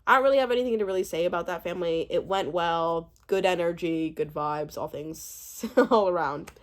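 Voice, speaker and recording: A woman in her twenties giving a casual, chatty recap commentary, animated and rambling, often breaking off mid-thought. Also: on the low side, normal speed. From born in Uganda, spent part of childhood there. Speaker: YouTube host reviewing a reality show.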